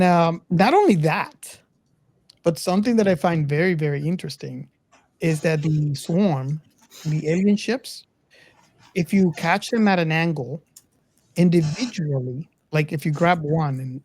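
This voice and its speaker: A man in a casual film discussion, speaking in a measured, hesitant way and putting thoughts to the group as questions. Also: on the high side, darkish tone. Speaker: podcast co-host.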